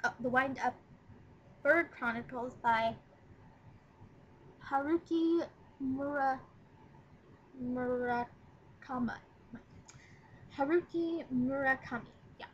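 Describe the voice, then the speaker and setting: A woman's voice: high voice, slow, pauses often, lo-fi sound, a little hiss or hum. A nineteen-year-old woman chatting in a rambling, casual first video blog, recorded on her laptop's webcam mic. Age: 19, young adult. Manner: chatty, rambling, lots of ums. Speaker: vlogger.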